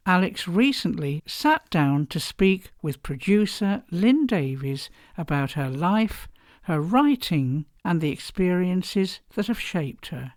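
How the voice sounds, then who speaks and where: Female podcast host, slow deliberate scripted intro, very animated pitch, dry close sound. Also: no echo. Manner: measured, warmly expressive reading.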